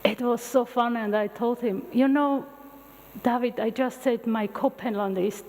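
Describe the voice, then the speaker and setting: A middle-aged woman whose first language is Armenian gives an open university lecture in English, telling stories with halting restarts. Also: fairly high pitch. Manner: animated storytelling with halting restarts. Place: large lecture hall. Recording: long hall reverb.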